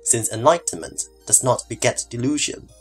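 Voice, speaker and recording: Man acting reverent male disciple, earnest, formal, middle pitch, audio drama, light music behind. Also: lively intonation, normal speed, breathy edge. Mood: earnest, reasoning. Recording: background music under the voice.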